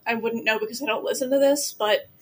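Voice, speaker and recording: A woman's voice: fairly high pitch, fairly even intonation, fast talker, a bit bright, a little hiss or hum. A young woman co-hosting a podcast, chatting casually and teasing her friends. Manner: teasing, contrary. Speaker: podcast co-host. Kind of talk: casual chat among friends.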